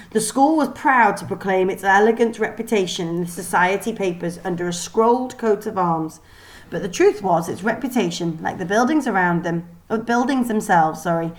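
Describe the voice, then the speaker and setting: Lady reading a kids book out loud, expressive, mid pitched voice. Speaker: storybook reader. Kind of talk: expressive scripted narration of a children's novel.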